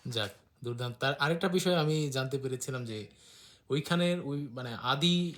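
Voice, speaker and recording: দ্বিধা নিয়ে কথা বলা পুরুষ সঞ্চালক. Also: male voice, mid-range voice, normal speed, a bit bright. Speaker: host interviewer. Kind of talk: casual book discussion.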